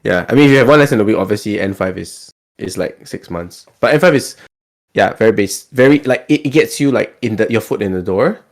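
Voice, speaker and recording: A man with a Singaporean accent and a fairly low voice hosts a casual podcast. There is a gravelly fry in his speech. He is chatty and tends to repeat words as he goes. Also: animated, a little fast. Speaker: podcast host.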